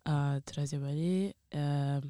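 A woman's voice: low-pitched, steady tone, slow, dark tone, clear, resonant tone, no room sound, studio quality. A young woman from Italy with an Italian accent, chatting casually and informally as a podcast guest. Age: young adult. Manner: informal, frequent slang fillers like "genre". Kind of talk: casual chat.

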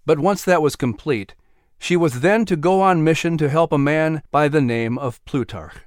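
A man playing an announcer who reads a story in a measured, dramatic way, as scripted narration. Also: a little high, dry recording, studio quality, close to an everyday voice. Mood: narrating.

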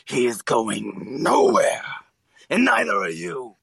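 An actor playing a menacing, sadistic alien warlord in an audio drama, with a gravelly, raspy voice and a slow, grandiose, taunting delivery. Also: male voice, a little high, big pitch swings, heavy background noise, exaggerated voice. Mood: gloating.

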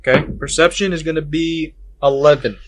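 A man's voice: fairly high pitch, steady tone, fairly quick, noisy background. A man chatting casually as a player in a tabletop roleplaying game.

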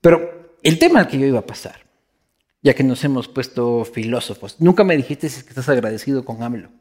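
A man's voice: medium pitch, animated, a little fast, a little mellow. Swearing man with a Quito accent. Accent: Quito Ecuadorian Spanish. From Ecuador. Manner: blunt, swears freely, repeats phrases for emphasis. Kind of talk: casual chat.

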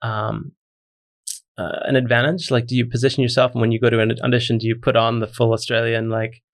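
A man's voice: mid-range voice, flat delivery, a little fast. A man with a Canadian accent interviewing a guest in casual talk, hesitant, with many ums and restarts.

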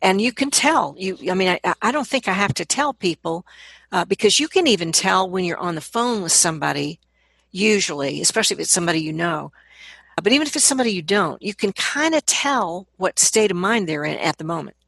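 A woman with a slightly low, husky voice talks as a coach in an interview. She explains things conversationally and leans on key words for emphasis. The sound is dry and close. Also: animated, brisk, fairly bright tone, no echo. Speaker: vocal coach being interviewed.

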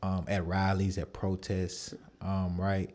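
A man in his thirties hosting a podcast interview, relaxed and affirming, with plenty of fillers. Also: deep voice, slow pace. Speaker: podcast host. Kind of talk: casual conversational interview.

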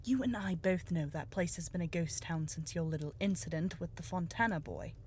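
A woman plays a businesslike female detective in a noir audio drama. The voice is a bit low, cool and pointed, pressing with determination. Faint ambience sits behind it. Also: a little fast, a little mellow, a little hiss or hum, natural voice. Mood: serious.

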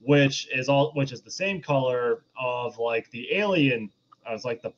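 A man's voice: mid-range voice, measured pace, highs cut off. A man co-hosting a podcast, explaining things in a rambling, casual film discussion. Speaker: podcast co-host.

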